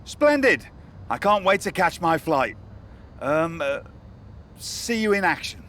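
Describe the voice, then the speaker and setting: A man playing a smooth-talking, evasive wrestling show manager in a video game cutscene. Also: on the high side, sing-song, slow pace, fairly bright tone, a little hiss or hum, exaggerated voice.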